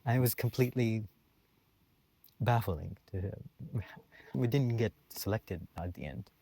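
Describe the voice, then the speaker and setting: A man's voice: on the low side, unhurried, vocal fry, warm tone. A young man from Saudi Arabia, a PhD candidate guest, telling a story in a hesitant way with many restarts and fillers. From born and raised in Saudi Arabia. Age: young adult. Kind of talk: casual interview chat.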